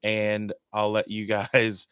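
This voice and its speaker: A man's voice: on the low side, completely flat delivery, slow, fairly bright tone, smooth, no room sound. A man hosting a podcast, handing the show over in an upbeat, loose way with frequent ums. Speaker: podcast host. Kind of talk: casual sign-off.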